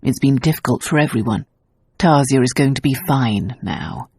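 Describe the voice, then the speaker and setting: A woman reading an audiobook voices a calm gentleman who murmurs soothing reassurance. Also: a bass voice, normal speed, slightly rough, no room sound, clean studio sound, voice pushed down, heavily acted. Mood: reassuring.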